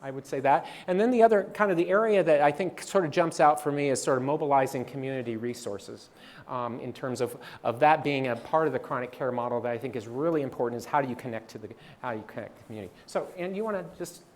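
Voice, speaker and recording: Halting male presenter at a training session, mid-range voice, lots of ums and restarts. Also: medium pitch, slight background noise. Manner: halting, many fillers and restarts.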